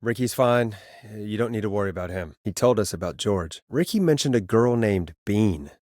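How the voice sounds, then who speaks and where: A man voicing a quick-witted, earnest radio call-in host in a video game, speaking in a conversational, wry way. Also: fairly low pitch, expressive, darkish tone, no echo, clean studio sound, natural voice. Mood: serious, probing.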